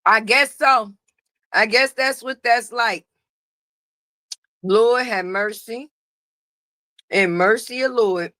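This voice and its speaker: Loud sassy high-voiced woman streamer. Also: dry recording, clean studio sound. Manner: sassy, loud, greets viewers by name. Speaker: live stream host. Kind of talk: casual gossip commentary.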